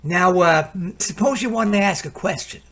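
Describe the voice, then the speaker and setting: A man's voice: high voice, moderate pace, a bit bright. Chatty, folksy salesman played by a man. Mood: confident explaining. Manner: chatty, hemming and hawing, colloquial. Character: folksy, patient salesman of home computer gadgets. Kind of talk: radio play acting.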